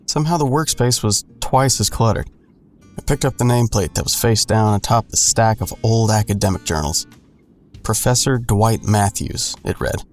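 A man playing a grown son who narrates an audio drama in a sardonic, colloquial, deadpan way. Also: fairly low pitch, lightly acted. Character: wry adult son narrating his own hard-boiled story. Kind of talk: first-person audio drama narration with dialogue.

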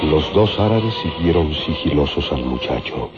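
A man's voice: a bass voice, lively intonation, normal speed. A man giving declamatory, dramatic scripted narration for an old-time radio serial. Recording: dull lo-fi radio recording, loud music.

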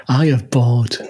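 A husky, slightly breathy man chatting casually as a podcast co-host. He laughs a lot and keeps checking in with a quick "huh?". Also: mid-range voice, a little mellow. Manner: chatty, laughs often, tags lines with "huh?". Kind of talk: casual chat.

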